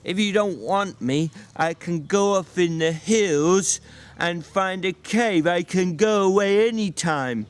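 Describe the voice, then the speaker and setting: A man's voice: high-pitched, slow pace, clean tone, voice pushed up. A man voicing a timid, simple-minded big man who pleads stubbornly and childishly in a dramatic novel reading. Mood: sulky pleading.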